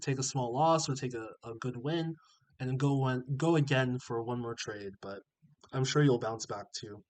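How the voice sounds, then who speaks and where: A man's voice: mid-range voice, moderate pace, darkish tone. A man hosting a trading channel with a stuffy, sniffling nose, reading out viewer comments and reassuring them in a casual, encouraging way.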